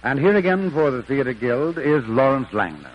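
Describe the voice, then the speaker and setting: A man's voice: medium pitch, very animated, moderate pace, muffled recording. A man making formal, polished announcements for a 1940s radio broadcast.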